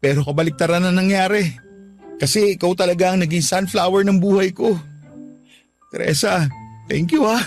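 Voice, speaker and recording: A man's voice: high voice, moderate pace, pauses often, soft background music. A man voicing a heartbroken young man who speaks emotionally and gratefully about a new love, in radio drama style.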